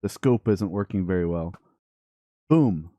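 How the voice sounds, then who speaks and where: A man's voice: low voice, lively intonation, a little slow, dark tone, no room sound, highs cut off. A man hosting a gaming let's play, chatting playfully and casually to viewers and game characters. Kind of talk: upbeat casual gameplay commentary.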